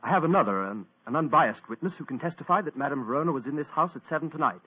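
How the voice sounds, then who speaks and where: A man's voice: on the high side, expressive, rapid-fire, husky. An actor playing a nervous, eager young man with an English accent in an old radio drama. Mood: anxious. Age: young adult. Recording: thin, narrowband old radio recording.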